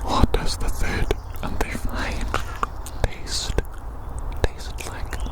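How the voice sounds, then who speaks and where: A person whispering an ASMR roleplay as a playful, reassuring examiner who often repeats little phrases. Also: takes it slowly. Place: outdoors in a forest.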